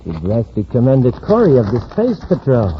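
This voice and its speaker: An actor playing a menacing criminal in an old-time radio serial, curt, bossy and threatening. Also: male voice, mid-range voice, wildly swooping pitch, moderate pace, a little hiss or hum. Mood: coldly businesslike. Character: menacing male criminal gang member. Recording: muffled vintage radio recording.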